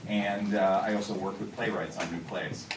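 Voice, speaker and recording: A man's voice: low-pitched, steady tone, moderate pace. A man, a theater director, chatting loosely to an audience before a show, with many fillers and restarts. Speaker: theater director giving a pre-show talk. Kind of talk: casual pre-show talk to an audience. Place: theater auditorium. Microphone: held microphone heard from a distance. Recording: echoey theater, far from the mic, noisy.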